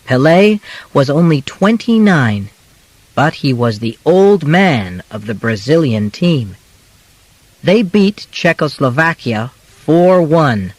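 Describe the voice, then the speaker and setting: A man reading a scripted audiobook in simple, measured, clearly phrased short segments. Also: on the high side, no room sound, poor audio. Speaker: audiobook narrator. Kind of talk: scripted narration of a simplified biography for learners.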